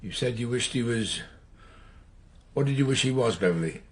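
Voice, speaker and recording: A patient, probing detective in a radio play. His voice is very husky and breathy, and it moves up and down freely as he coaxes and presses. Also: mid-range voice, lively intonation. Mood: firm, patient. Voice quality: very raspy, breathy. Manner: measured, coaxing, insistent. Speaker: actor in a radio drama. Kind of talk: naturalistic radio drama dialogue.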